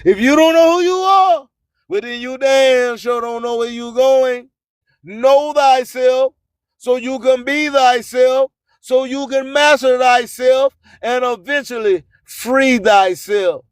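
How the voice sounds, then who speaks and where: A man's voice: extremely high pitch, slow, clear, resonant tone, no room sound. A man, recorded dry and close. He takes his time, delivering a motivational radio monologue like a preacher, leaning on phrases and repeating them for emphasis. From US Gulf Coast. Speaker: radio host.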